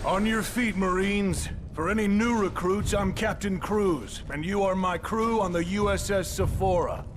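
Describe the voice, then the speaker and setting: A man playing a gruff, blunt, foul-mouthed marine captain who addresses troops in a commanding way in a video game. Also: sits high, a little slow, background noise. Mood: gruff, businesslike. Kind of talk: military briefing and rallying speech in video game.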